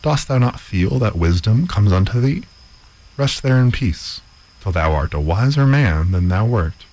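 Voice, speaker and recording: A man's voice: on the low side, lively intonation, unhurried, a little mellow, pitched above the performer's own voice. A man voicing a crafty male gardener who pretends to be a wise sage, speaking in solemn, old-fashioned phrases. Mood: mocking. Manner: grand, solemn, archaic speech.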